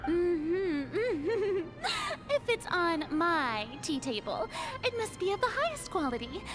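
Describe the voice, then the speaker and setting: A woman's voice: very high voice, sing-song, slow pace, full character voice. A woman playing a grand yet insecure young female ruler in a video game, haughty but nervously faltering. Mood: forced grandeur. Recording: music behind the voice.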